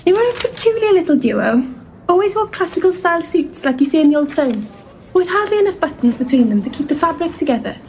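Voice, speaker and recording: A woman's voice: very high voice, very animated, fast talker, a little mellow, a little hiss or hum, exaggerated voice. A woman playing a polite, earnest young intern giving her account in an audio drama. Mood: wry, recounting.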